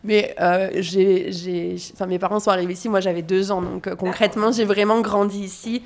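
This is a woman in her thirties from France, answering questions at an easy, halting pace. She fills her pauses and starts her sentences over. Her voice sits in a middle register. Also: medium pitch, steady tone. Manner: hesitant, many fillers and restarts. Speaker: interview guest, doula. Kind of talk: casual interview conversation. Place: small family café. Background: family chatter in the café.

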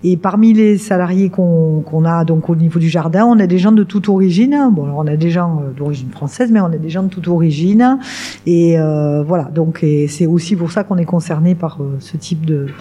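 A woman's voice: on the low side, moderate pace, mellow, highs cut off. A middle-aged woman, director of a work-integration garden, explaining its work in a radio interview with frequent hesitations and restarts. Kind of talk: radio interview guest explaining her organisation.

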